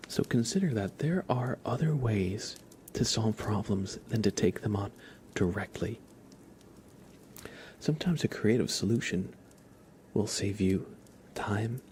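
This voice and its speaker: A man hosting an ASMR livestream, speaking softly and breathily in a gentle, soothing, unhurried way, often slipping into a whisper. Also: on the low side, slight background noise. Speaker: livestream host. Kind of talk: ASMR livestream, chatting to viewers.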